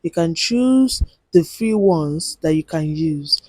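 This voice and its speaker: A woman with a loud voice teaching video editing step by step on a handheld mic, in a chatty way with lots of "okay" and "right?". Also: sits low, lively intonation, takes it slowly, dry recording. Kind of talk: screen-recorded app tutorial.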